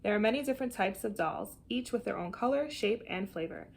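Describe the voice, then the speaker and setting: A woman reads a children's picture book aloud with an animated, deliberate storytelling delivery. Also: medium pitch, gravelly, a little hiss or hum. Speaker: storybook reader.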